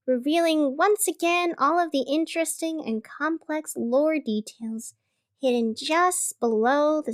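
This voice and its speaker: A woman's voice: high-pitched, no room sound. A woman playing a wry, chatty small-town radio host, narrating casually in a scripted audio drama over music. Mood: dry, musing.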